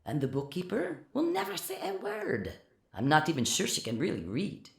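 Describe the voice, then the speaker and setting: A narrator voicing a scheming male pirate who talks coldly and low behind a door. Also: huge pitch swings, normal speed, gravelly, echoey room, exaggerated voice. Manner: cold, hushed and muffled.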